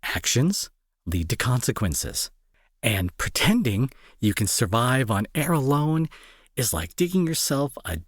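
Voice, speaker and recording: A man acting in an intimate audio roleplay as a gruff young bully who is secretly worried and protective, talking to the listener with sarcasm and exasperation. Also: mid-range voice, sing-song, unhurried, dry recording, clean studio sound. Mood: exasperated, scolding.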